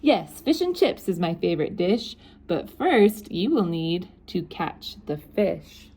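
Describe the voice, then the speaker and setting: A woman's voice: lowish voice, very animated, takes it slowly, warm tone, husky, heavily acted. A woman voicing a hungry storybook king who is picky and demanding about his food. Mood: kindly.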